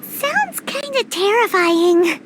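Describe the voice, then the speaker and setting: A woman's voice: very high voice, very animated, slow pace, noisy background, full character voice. A woman voicing a tiny, childlike floating girl companion in a video game, anxious and childish in manner, with game music behind her. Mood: worried, curious. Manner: childish, refers to self by name. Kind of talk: video game character acting.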